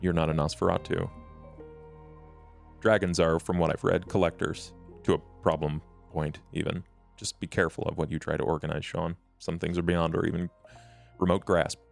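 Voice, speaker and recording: Guy as a serious vampire mentor, low n gravelly, formal, careful, music playing behind. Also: flat delivery. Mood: cautioning. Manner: measured, formal, deliberate. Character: grave, cautious male vampire mentor, earnest and protective. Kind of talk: in-character tabletop roleplay.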